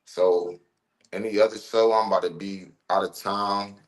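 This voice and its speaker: A man's voice: on the low side, steady tone, normal speed, rough recording. A man in his thirties from Ohio, a rapper chatting casually as a podcast guest in a laid-back, slangy, rambling way with many fillers. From Ohio, USA. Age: 30s.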